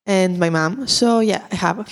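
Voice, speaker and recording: A woman's voice: mid-range voice, unhurried. Teen girl in a reverberant room. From Greece. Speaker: podcast guest.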